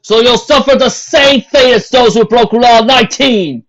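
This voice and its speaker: A man voicing a cruel male pony legion captain who booms commands slowly and theatrically. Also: a bit bright, full character voice. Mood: commanding. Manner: booming, commanding, slow and theatrical. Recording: dull lo-fi home recording.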